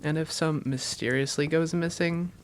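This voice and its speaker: A woman's voice: low-pitched, fairly even intonation, moderate pace, slight background noise, close to an everyday voice. A woman playing an affectionate, teasing young vampire girlfriend in an intimate roleplay audio, playful and tender with her partner.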